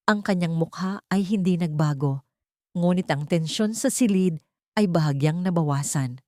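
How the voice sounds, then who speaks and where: Female storyteller for dramatic audio fiction, lowish pitch, soft dark tone. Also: moderate pace, no room sound, studio quality. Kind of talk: scripted dramatic story narration.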